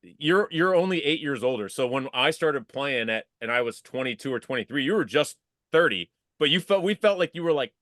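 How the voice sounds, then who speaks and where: Animated man in his forties, dry sound. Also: medium pitch, fairly quick, no room sound. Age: 40s. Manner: animated, emphatic, swears when surprised. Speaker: guest, former football player. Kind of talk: casual reminiscing chat.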